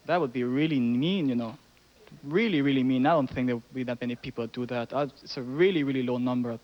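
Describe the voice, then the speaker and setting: A man's voice: mid-range voice, quick pace. A man from Venezuela playing as a game show contestant, talking exuberantly and repeating his words. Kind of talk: excited contestant talk. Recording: 1970s TV broadcast, dull sound, studio audience.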